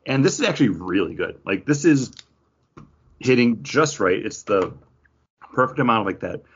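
A man's voice: medium pitch, sing-song, moderate pace, a bit bright, breathy edge, muffled recording. A man hosting a podcast in a casual, chatty style. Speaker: podcast host. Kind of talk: casual chat.

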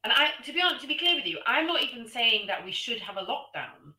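A woman, a guest in a political discussion, talking loudly and chattily with many fillers. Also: fairly high pitch, sing-song, fast talker, reverberant, poor audio. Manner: loud, chatty, filler-heavy. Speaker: guest commentator.

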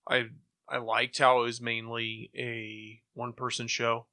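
A man's voice: mid-range voice, flat delivery, a little slow, sharp tone. A middle-aged man chatting casually as a podcast co-host. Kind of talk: casual chat.

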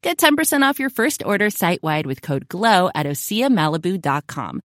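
Lively woman reading a skincare ad. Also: lowish voice, normal speed, no echo, studio quality. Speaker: advertisement voice-over. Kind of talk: polished scripted skincare ad read.

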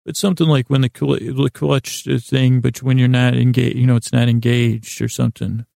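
A man hosting a sleep podcast in a creaky voice, meandering haltingly in lulling, soothing tones, repeating himself and trailing off. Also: medium pitch, flat delivery, mellow, no echo, clean studio sound. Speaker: podcast host. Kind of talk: rambling sleep-podcast monologue.

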